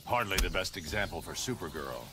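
An actor playing a grim, stern middle-aged masked vigilante in a video game, terse and commanding. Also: male voice, low-pitched, lively intonation, fairly quick, noisy background. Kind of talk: video game character dialogue. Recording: loud music under dialogue.

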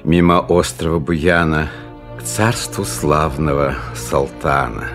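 A man's voice: a bass voice, steady tone, slow pace, music in the background. A man narrating a fairy tale in verse, in a theatrical, declamatory manner.

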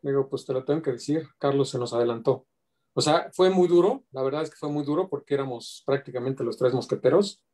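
Man, middle-aged, mellow warm tone, earnest and reflective, video call audio. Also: medium pitch, brisk. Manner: earnest, reflective, with quoted asides. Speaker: community organizer paying tribute. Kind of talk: heartfelt spoken tribute on video call.

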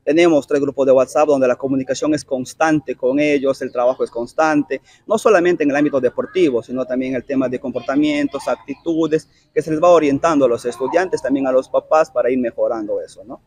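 A man in his thirties with a Peruvian accent, a youth football coach answering an interview on an outdoor pitch, measured and explanatory. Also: a little mellow, no echo. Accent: Peruvian Spanish. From Peru. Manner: measured, explanatory, frequent tag questions. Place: outdoor artificial-turf football field. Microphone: handheld reporter mic held close.

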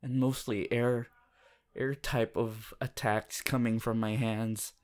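Romantic roleplay lead: bashful, devoted teen boy hero played by a man, mid-pitched, unhurried, stammering delivery. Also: little pitch movement, a little mellow, natural voice. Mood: sheepish, flustered. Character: shy, devoted, flustered teenage boy hero. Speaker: voice actor. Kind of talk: romantic roleplay audio, intimate fan performance.

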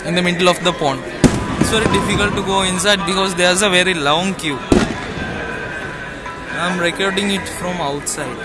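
A man's voice: sits high, fairly even intonation, moderate pace, pauses often. A young man casually narrating a walking travel vlog, enthusiastic and leaning on the word "actually", recorded on a handheld phone in a crowded outdoor courtyard at night. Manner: enthusiastic, repeats "actually", simple phrasing. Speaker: travel vlogger. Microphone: handheld phone camera. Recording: phone audio with loud crowd and music. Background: loud festival crowd and music.

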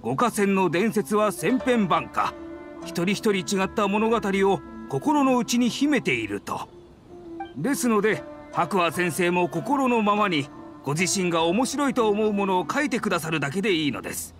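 High-voiced, deferential editor. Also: male voice, very animated, normal speed, music in the background. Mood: apologetic. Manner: very polite, deferential business speech. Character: courteous, apologetic, earnest male publishing editor. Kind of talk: Japanese anime game dub.

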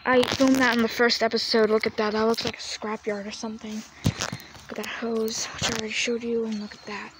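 A woman's voice: fairly high pitch, flat delivery, brisk. A young woman vlogging while walking around a farm yard, chatty and often dropping to a whisper. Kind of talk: casual walk-around vlog. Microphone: handheld phone camera. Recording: noisy outdoor phone audio.

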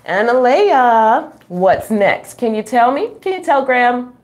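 A middle-aged woman reading a children's book aloud at home, expressive and playful, with chatty asides to young listeners. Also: a little high. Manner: expressive, playful, drawn-out reading, frequent chuckles and gasps. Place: small room at home.

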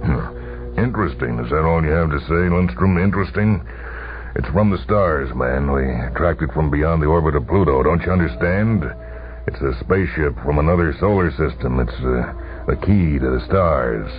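Man acting middle-aged general, extremely low voice, terse, impatient, radio story, tinny sound, music behind. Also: expressive, normal speed. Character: middle-aged three-star general, gruff, impatient, resigned.

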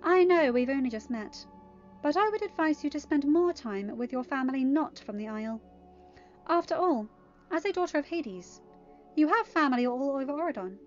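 A woman's voice: high-pitched, lively intonation, normal speed, pitched above the performer's own voice. A woman reading a story, voicing a prim, condescending young woman who speaks in a polite, pointed way. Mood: politely condescending. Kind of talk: amateur story reading with dialogue. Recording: dull lo-fi audio, music behind.

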